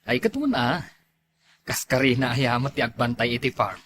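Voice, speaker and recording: A fretful, questioning husband played by a man in an Ilocano comedy drama. His voice sits at a middle pitch and carries an Ilocano accent. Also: sing-song, moderate pace, a bit bright, slight background noise. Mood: casual. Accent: Ilocano, northern Philippines.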